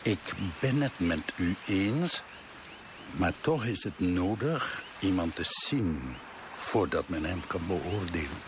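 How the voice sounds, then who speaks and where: Courteous old regent, ceremonious, low voice with lots of rise and fall. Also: male voice, animated, takes it slowly, noisy background. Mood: measured. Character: dignified, dutiful elderly Javanese regent, still vigorous. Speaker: actor in a radio play. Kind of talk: Dutch radio drama acting.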